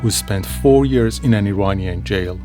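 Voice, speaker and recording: A man's voice: fairly low pitch, moderate pace, over music. A man reading scripted narration for a documentary as its narrator.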